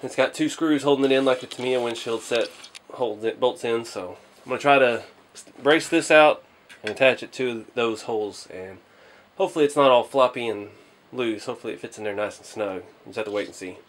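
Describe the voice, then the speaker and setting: A man's voice: medium pitch, brisk, slight background noise. Casual man thinking aloud as he works. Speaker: host of a hobby RC model-building video. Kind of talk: casual hands-on build commentary.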